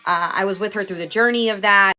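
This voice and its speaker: A woman's voice: mid-range voice, steady tone, fast talker, sharp tone. A woman from Houston, chatting casually as a livestream host, greeting viewers by name and sounding a little stuffy from a cold. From Houston, Texas.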